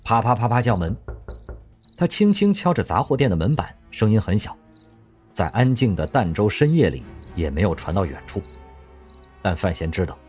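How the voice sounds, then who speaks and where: A man narrating an audio drama in an expressive storytelling manner. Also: on the low side, moderate pace, soft background music.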